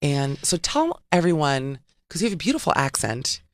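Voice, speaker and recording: A woman's voice: low voice, sing-song, normal speed, no echo. A woman hosting a radio interview in a studio, teasing and joking with her guest. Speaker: radio host.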